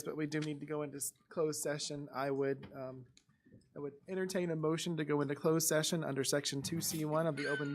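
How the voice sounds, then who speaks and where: A mayor runs the council meeting in a measured, procedural manner. His voice sits a bit high, and he keeps it flat and even. He adds an occasional touch of dry humor. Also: a little hiss or hum. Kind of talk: formal meeting chairing.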